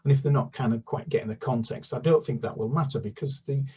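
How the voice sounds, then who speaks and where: A man's voice: mid-range voice, fast, mellow, husky, recorded from a distance. A man, a children's author, answering interview questions in a hesitant, rambling, conversational way.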